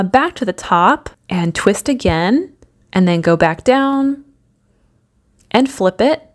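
A woman narrates a do-it-yourself decor tutorial in voice-over, walking through each step in a practical, easygoing way. She fills pauses with ums and uhs as she explains, at an ordinary middle pitch. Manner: practical, step-by-step, with frequent fillers. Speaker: DIY home decor vlogger.

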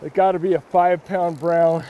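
A middle-aged man hosting a fly-fishing video, talking casually and excitedly on a riverbank. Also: sits high, normal speed, lo-fi sound, slight background noise. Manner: excitable, exclaims often. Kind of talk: casual outdoor commentary. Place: outdoors on a riverbank.

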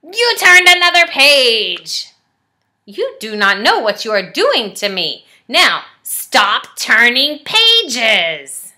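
A woman's voice: on the high side, wildly swooping pitch, takes it slowly, sharp tone, full character voice. A woman voicing a timid, lovable furry male storybook monster in a pleading, melodramatic manner. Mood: exasperated. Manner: pleading, melodramatic, exaggerated emphasis.

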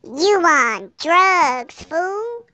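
A person chatting casually through a voice changer that makes them squeaky like helium. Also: big pitch swings, very slow, sharp tone, dry recording. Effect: helium-style high-pitched voice changer. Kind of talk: casual joking chat.

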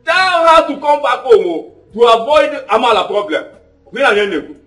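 A man's voice: very high voice, big pitch swings, moderate pace, sharp tone, soft background music, heavily acted. A man with a Nigerian accent playing an angry, exasperated husband in a film drama, repeating his demands insistently. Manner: agitated, repeats phrases insistently.

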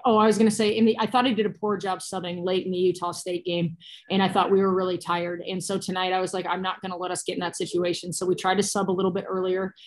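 A woman's voice: on the low side, little pitch movement, fast talker. A woman in her thirties with an American accent, a coach giving matter-of-fact, colloquial answers at a postgame press conference over a video call. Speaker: basketball coach. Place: small cinder-block room indoors. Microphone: laptop or phone on a video call.

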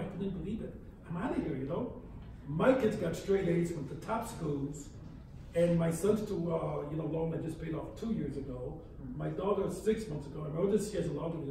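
An older man speaking bluntly and fast as a panelist in a panel discussion, recorded from far off in a large hall. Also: a little high, warm tone, a little hiss or hum. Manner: blunt, outspoken, fast-talking. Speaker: panelist, museum founder. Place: large hall with brick walls. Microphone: far room mic.

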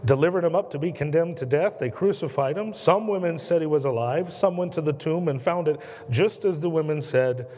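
A man in his thirties with an American accent, preaching a sermon from the pulpit in earnest, slowing and dropping his voice on key words. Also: warm tone. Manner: earnest preaching, slows and drops low on key words, gestures a lot. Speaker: pastor. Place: church sanctuary at a pulpit. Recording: long church reverb.

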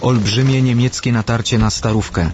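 A man's voice: medium pitch, fairly even intonation, moderate pace, a little mellow, muffled recording, slight background noise. A man reading scripted historical narration as the narrator of a radio documentary.

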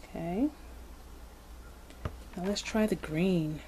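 A woman hosting a kids' toy video, narrating as she plays with modeling clay in a slow, gentle, playful way with soft asides. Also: lowish voice, poor audio. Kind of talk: hands-on toy play narration for kids. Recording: background noise.

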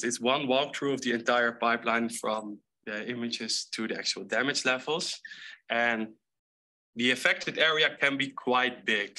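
Young male speaker, very bright and crisp-edged tone, explaining slides in a halting keynote delivery. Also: medium pitch, low-quality recording. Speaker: data scientist, keynote speaker. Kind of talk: conference presentation, explaining slides. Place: indoor stage with screens.

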